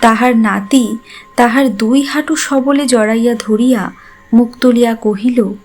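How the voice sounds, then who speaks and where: A woman's voice: fairly high pitch, fairly even intonation, measured pace. A woman reading literary narration as the storyteller of an audio drama. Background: background music.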